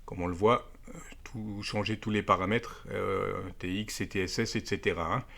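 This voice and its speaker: A man's voice: lowish voice, fairly even intonation, normal speed, vocal fry, fairly bright tone. A man presenting a gadget in a casual, hands-on way, explaining it step by step. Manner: explanatory, step by step, with frequent tag words. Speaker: amateur radio video presenter. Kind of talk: casual hands-on product demonstration.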